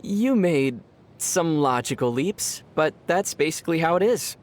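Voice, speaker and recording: A man voicing a calm, thoughtful young adventurer in a video game, speaking in a measured, storytelling way with a wry edge. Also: fairly high pitch. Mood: explaining.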